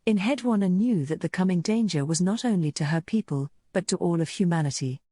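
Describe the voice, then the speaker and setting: A woman narrating a mythic visual novel in a measured, solemn, scripted style. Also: on the low side, expressive, warm tone, no echo, clean studio sound.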